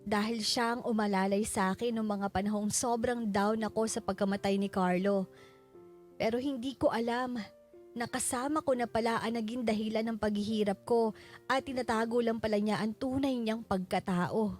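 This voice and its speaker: An actress playing a sorrowful widow, narrating her letter in an earnest, measured way for a radio drama. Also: a little high, steady tone, darkish tone, faint music behind, close to an everyday voice. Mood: reflective, sorrowful.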